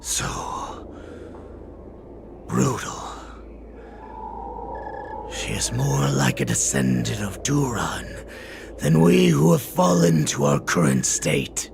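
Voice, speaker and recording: A man's voice: low voice, huge pitch swings, takes it slowly, long pauses, background noise, heavily acted. A man voicing a savage, defiant wolfish beast warrior in a video game, with a growling, menacing and dramatic delivery. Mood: grim awe. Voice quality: gravelly growl. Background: game music.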